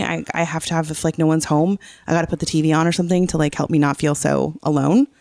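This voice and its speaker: Podcast co-host, mid pitch, fry in the voice, casual explainer who seeks confirmation, dry recording. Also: a little mellow, no echo. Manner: often checks that the listener follows. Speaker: teacher. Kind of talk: casual podcast chat, explaining song lyrics.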